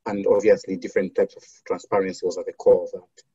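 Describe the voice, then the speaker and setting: Deep, dark-voiced man from Zambia. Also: flat delivery, husky, no room sound, lo-fi sound. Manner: measured, with many fillers and restarts. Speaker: foundation program officer. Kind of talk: interview answers.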